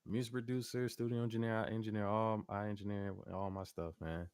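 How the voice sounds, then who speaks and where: Deep-voiced relaxed singer-producer guest. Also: male voice, fairly quick, clean tone, dry recording, clean studio sound. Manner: relaxed, hums melodies, laughs easily. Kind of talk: casual interview chat.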